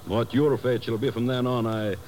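An actor playing a cold, smug Soviet agent in a 1950s radio drama, speaking in a measured, formal, ominous way. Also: male voice, medium pitch, steady tone, natural voice. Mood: smug menace. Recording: slightly noisy 1950s radio recording.

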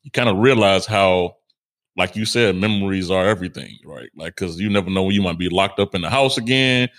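Loud young man, rambling chat. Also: low voice. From United States. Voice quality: strong, loud voice. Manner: loose, rambling, full of fillers and restarts. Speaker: podcast co-host. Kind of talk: casual conversation.

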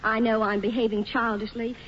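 A woman's voice: a little high, flat delivery, brisk, natural voice. An actress in a 1940s radio comedy playing a jealous, earnest young woman who speaks fretfully. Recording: muffled, noisy old radio broadcast.

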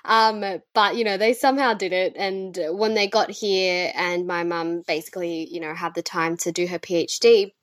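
A young woman from Sri Lanka, co-hosting a casual podcast and talking in a chatty, lively way with frequent ums and laughs. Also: lowish voice, normal speed, hardly any pauses, no echo. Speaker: podcast co-host.

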